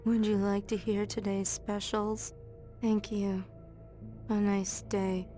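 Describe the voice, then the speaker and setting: An actress playing a dazed, sweet small-town waitress in a game, halting, dreamy and polite. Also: female voice, medium pitch, flat delivery, warm tone, soft background music, natural voice. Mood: vacant, polite.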